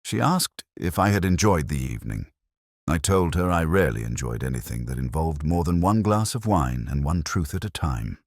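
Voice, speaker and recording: A man narrating a literary mystery in the first person, in a measured, melancholy and solemn way. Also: rumbling low, lively intonation, no room sound, clean studio sound.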